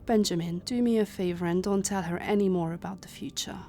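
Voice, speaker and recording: A composed female leader of time travelers played by a woman in a sci-fi audio drama. Her voice is fairly low and very mellow, with measured, formal authority, over faint music. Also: close to an everyday voice. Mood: stern, considering. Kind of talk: cinematic audio roleplay acting.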